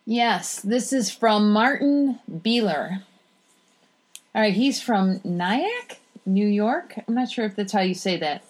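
Lady guest on a podcast, average pitch, chatty, casual reading out loud. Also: lively intonation, takes it slowly. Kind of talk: casual chat and reading a letter aloud.

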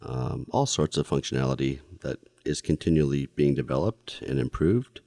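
A man's voice: very deep voice, expressive, moderate pace, vocal fry, dark tone, no echo, clean studio sound. A laid-back man co-hosting a casual podcast, laughing easily. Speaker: podcast co-host.

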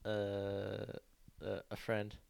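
A man hosting a podcast in casual, joking chat, with a deep voice that others find relaxing. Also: completely flat delivery, extremely slow, creaky, a little mellow. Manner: joking, teasing banter. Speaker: podcast host.

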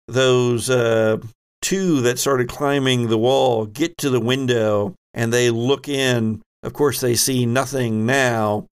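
A man with a slow, measured delivery runs a tabletop role-playing game. He sets scenes dramatically as game master, in a mid-pitched voice. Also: clear, resonant tone.

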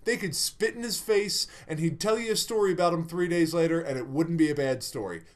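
A man's voice: fairly high pitch, brisk. Rambling man telling a crude story. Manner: rambling, filler-heavy, laughing often. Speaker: podcast host telling a story.

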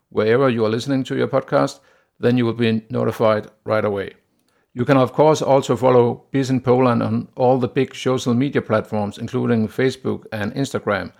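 Male podcast host, Danish accent, gravelly voice at a mid pitch, steady and plain with hesitant "uh" fillers. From Denmark. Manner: steady, plain delivery with frequent "uh" pauses. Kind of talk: solo business podcast monologue.

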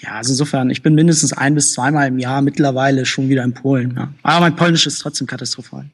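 A young man from Hamburg, a guest in a casual interview, talking in a relaxed, rambling way with many fillers. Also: mid-range voice, quick pace, husky. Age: young adult.

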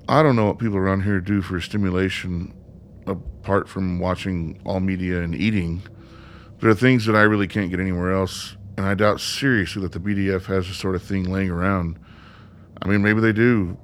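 A male actor plays an uncomfortable male newcomer in a radio drama. His voice is on the low side, and his delivery is casual but halting and imploring. Faint noise sits behind him. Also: fairly even intonation, lightly acted. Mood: embarrassed, evasive. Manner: hesitant, halting, casual and pleading. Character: awkward, uneasy newcomer man confined in a facility. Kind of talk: naturalistic radio play acting.

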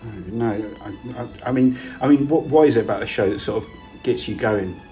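Relaxed man interviewer, faint music. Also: on the low side, fairly quick. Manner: relaxed, hesitant, repeats himself. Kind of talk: casual interview, reading out questions.

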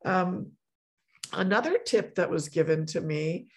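A middle-aged woman hosting a podcast, talking in a relaxed, chatty way. Her voice has a gravelly edge. She keeps stopping and restarting her sentences and fills the gaps with words like "you know.". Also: lowish voice, unhurried. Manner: chatty, many fillers and restarts. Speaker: podcast host. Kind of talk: casual conversation.

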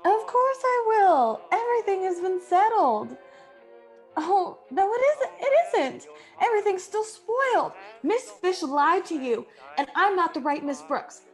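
Earnest young heroine played by a woman: high voice, flustered, stammering, radio play. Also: animated, measured pace, soft background music. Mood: adoring. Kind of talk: classic radio play acting.

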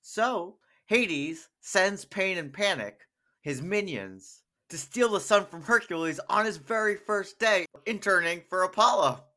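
Bright, wry male podcast co-host. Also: sits high, expressive, a little slow. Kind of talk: animated episode recap with co-host.

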